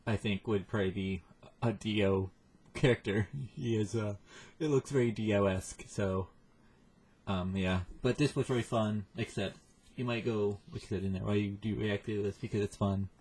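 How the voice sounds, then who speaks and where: Low, husky man rambling casually. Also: steady tone, a little mellow. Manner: halting, self-deprecating. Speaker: reaction video host.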